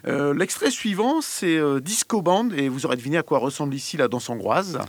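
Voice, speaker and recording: A man's voice: a little high, animated, fairly quick, no room sound. A man hosting a music radio show in a playful, casual way. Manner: playful, laughs often while presenting. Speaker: radio host.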